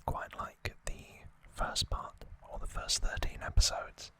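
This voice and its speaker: Hushed ASMR whisperer. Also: no echo. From United Kingdom. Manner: hushed, unhurried, informal. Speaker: ASMR video host. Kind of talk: whispered ASMR, relaxed show-and-tell commentary.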